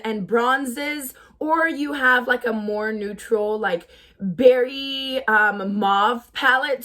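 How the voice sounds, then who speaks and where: A young woman beauty vlogger with a raspy, tired voice, chatting casually about products, gushing and full of "like" fillers. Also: fairly high pitch, fairly bright tone. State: voice tired from shouting. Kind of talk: casual product review chat.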